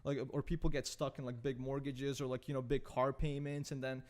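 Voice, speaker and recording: A man's voice: mid-range voice, little pitch movement, a little mellow. A man in his late twenties, from Serbia, talking casually as a podcast guest, rambling with lots of "like" and "dude.". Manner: rapid rambling with constant fillers like "like" and "dude". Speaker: podcast guest, photographer and content creator.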